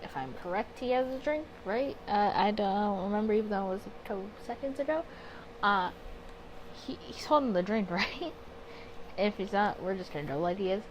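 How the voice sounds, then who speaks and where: Chatty woman gamer, clearly noisy recording. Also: mid-range voice, moderate pace. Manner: chatty, expressive, reacting and reasoning aloud. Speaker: gaming video creator. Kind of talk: casual playthrough commentary mixed with reading story text aloud.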